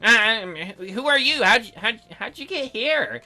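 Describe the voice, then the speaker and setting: Animated man doing casual game commentary. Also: extremely high pitch, measured pace. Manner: joking, mock-incredulous asides. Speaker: let's play host.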